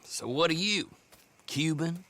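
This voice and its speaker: An actor playing a cocky, shifty horse dealer in a video game, taunting and folksy. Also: male voice, on the high side, animated, unhurried, pushed and bright, a little hiss or hum. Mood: sly. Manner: taunting, folksy, repeats himself. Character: cocky, needling, shifty horse-dealing twin brother. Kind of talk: video game cutscene acting.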